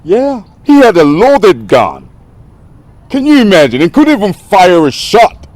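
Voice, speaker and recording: Slow, deep-voiced, haughty royal. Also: male voice, lively intonation, darkish tone, dry recording. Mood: contemptuous outrage. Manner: pompous, halting phrases. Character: haughty, short-tempered royal man, courteous to his equals. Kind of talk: film drama acting.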